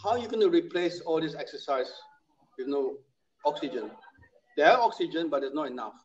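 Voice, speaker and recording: A man's voice: a little high, animated, fairly quick, breathy edge. A man in his sixties, talking casually and emphatically, often repeating his points. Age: 60s. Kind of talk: casual chat. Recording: muffled lo-fi audio.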